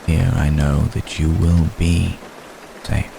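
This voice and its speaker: An age-old vampire gentleman, played by a man, murmurs in an extremely deep voice over clearly audible rain. His delivery is flat and measured, with formal phrasing and long, gentle pauses. He sounds protective and world-weary, in the manner of an intimate romantic ASMR roleplay. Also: heavily acted. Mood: tender, reassuring. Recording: steady rain noise behind voice. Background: rain falling.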